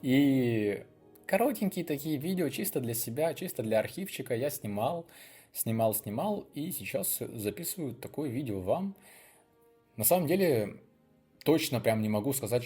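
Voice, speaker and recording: A man's voice: mid-range voice, lively intonation, moderate pace, dark tone, slightly rough, soft background music. A young man telling personal stories to viewers in a casual, unscripted way, chatty and rambling as he thinks aloud. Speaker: video blogger hosting his channel.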